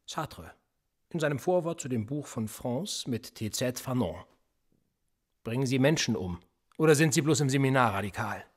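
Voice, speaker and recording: An actor playing a cool, sardonic male police interrogator in a radio play, calmly probing and sarcastic. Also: medium pitch, normal speed, husky.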